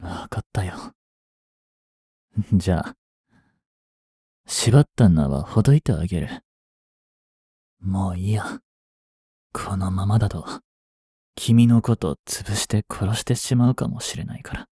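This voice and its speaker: A man in his twenties playing an obsessive, jealous young stalker in an intimate voice drama, coaxing softly with long pauses. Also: sits low, breathy edge, no echo, studio quality, heavily acted. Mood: resigned, despairing. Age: 20s. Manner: soft, coaxing, brooding delivery with drawn-out pauses. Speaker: voice actor. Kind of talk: intimate Japanese voice drama, addressed to the listener.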